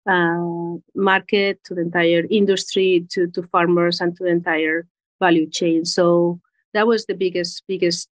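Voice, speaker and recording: A woman's voice: on the low side, level tone, unhurried. A woman in her forties from Spain with a Spanish accent, answering interview questions as a company executive, carefully repeating words as she searches for phrasing. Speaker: corporate executive guest.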